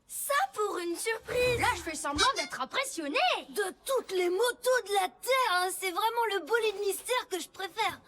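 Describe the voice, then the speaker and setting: Very high-pitched, eager young motorbike. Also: female voice, moderate pace, background noise. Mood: curious. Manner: excitable, childlike. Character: eager young motorbike kids, cheeky and curious. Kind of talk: French cartoon dub acting. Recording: music bed under the voice. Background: music.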